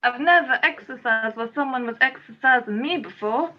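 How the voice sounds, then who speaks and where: A woman's voice: high-pitched, brisk, lightly acted. A woman playing an earnest, insistent exorcist who coaxes a stubborn spirit in an amateur radio play. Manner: insistent, coaxing, theatrical. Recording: slightly dull, lo-fi audio.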